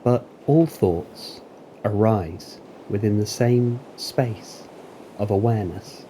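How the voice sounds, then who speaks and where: A man narrating a guided meditation in a calm, deliberate, soothing manner with long pauses. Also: lowish voice, slight background noise, low-quality recording. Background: waves.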